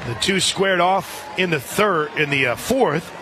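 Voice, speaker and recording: A man doing live radio play-by-play of softball in a conversational way, as the announcer for the home side. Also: high-pitched, animated, a little slow. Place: outdoor softball stadium broadcast. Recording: crowd and music behind. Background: stadium crowd, music.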